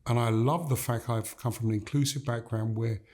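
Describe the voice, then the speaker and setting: An older man from Gambia, a leadership coach, talking in an interview in a measured, emphatic way that builds points through repeated phrases. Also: mid-range voice, fairly even intonation, a little mellow. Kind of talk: reflective interview conversation.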